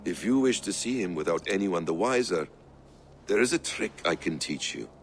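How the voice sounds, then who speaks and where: A secretive assassin mentor speaks in a very deep, measured voice. His intonation rises and falls expressively as he persuades. Faint ambient sound runs behind him in the game scene. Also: lively intonation, a little hiss or hum. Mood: calm. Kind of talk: video game cutscene acting.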